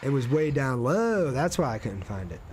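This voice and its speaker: A man's voice: mid-range voice, big pitch swings, normal speed, darkish tone, a little hiss or hum. Casual man streaming a video game. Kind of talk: casual livestream gameplay commentary with chat replies.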